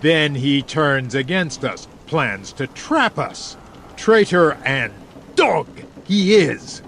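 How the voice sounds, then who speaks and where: A man performs a rough, bitter pirate in an audiobook drama. He speaks slowly and scornfully, with wide swings of pitch over faint ambient noise. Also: big pitch swings, a bit bright, exaggerated voice. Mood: bitter scorn. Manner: scornful, exclamatory.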